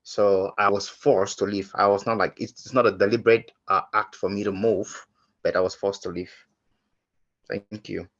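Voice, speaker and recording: A man's voice: fairly low pitch, fairly even intonation, a bit bright. Earnest man from Cameroon, muffled call audio. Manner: earnest, halting, many fillers and restarts. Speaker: panelist. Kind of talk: personal testimony on a webinar panel. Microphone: video call.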